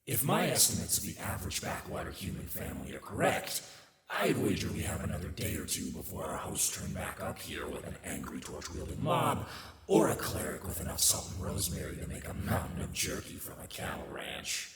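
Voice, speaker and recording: Casting: male performer as sardonic demon master, low gravelly voice, wide dramatic swings, condescending, ASMR roleplay. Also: big pitch swings, a little fast, reverberant, in a large hall, a loud crowd. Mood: sly, amused. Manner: theatrical, condescending, sly asides.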